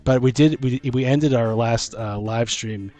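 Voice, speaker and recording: A man's voice: mid-range voice, measured pace, mellow, faint music behind. A man in casual podcast chat about tabletop gaming as co-host, informal and thinking aloud as he goes. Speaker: co-host and dungeon master.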